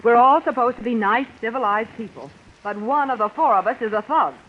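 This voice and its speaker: A woman's voice: on the high side, expressive, a little fast, natural voice. A woman playing a self-possessed young lady under suspicion in an old radio drama, frank and firm. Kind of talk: old-time radio suspense acting. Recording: muffled vintage radio, faint rain noise.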